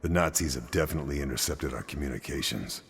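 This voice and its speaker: An actor playing a confident, gruff wartime spy agent in a video game, with a dry, terse manner. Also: male voice, very deep voice, fast, very noisy. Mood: dry, appraising. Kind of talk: video game cutscene acting.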